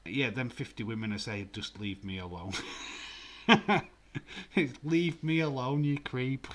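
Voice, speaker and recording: A man's voice: medium pitch, unhurried. A man with a Yorkshire accent hosting a live stream, chatting casually and teasing his chat, laughing often and sometimes dropping to a near whisper. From Yorkshire, England.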